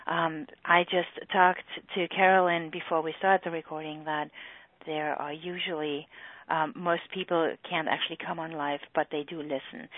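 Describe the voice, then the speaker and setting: Woman, German accent, middle-aged, earnest, halting, phone-line sound. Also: fairly low pitch, dry recording. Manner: earnest, halting with many fillers. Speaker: EFT practitioner and trainer. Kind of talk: talk on a recorded group call. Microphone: phone or call line. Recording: tinny phone-line call recording.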